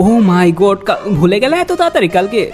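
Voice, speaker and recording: Young bangladeshi guy doing prank calls, talks quick, teasing fake-serious tone, bit of music under it. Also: high-pitched, sing-song, darkish tone. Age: young adult. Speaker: prank-calling YouTuber. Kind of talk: comedic prank phone calls. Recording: music behind the voice.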